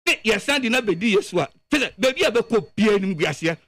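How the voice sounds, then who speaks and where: A man with a Ghanaian accent giving animated political commentary on the radio, emphatic and full of repeated words. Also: sits high, fast, dry recording.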